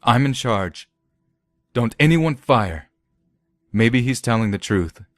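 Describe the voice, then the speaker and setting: Man as security officer in charge, authoritative, cautious, pitched up, storybook drama. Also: lively intonation, takes it slowly, dry recording, clean studio sound. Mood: firm, urgent. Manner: firm, authoritative.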